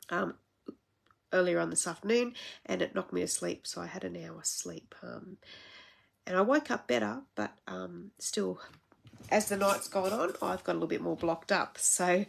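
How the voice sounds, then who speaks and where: A woman's voice: fairly low pitch, creaky. An Australian woman with a blocked-up, congested nose, chatting casually as she hosts a live crafting stream, rambling with many fillers.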